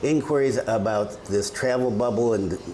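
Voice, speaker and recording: A man's voice: lowish voice, moderate pace, warm tone, a little hiss or hum, poor audio. A man hosting a casual news update video, reading figures and articles aloud and adding his own chatty asides. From lives in Bali. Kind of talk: casual spoken news roundup.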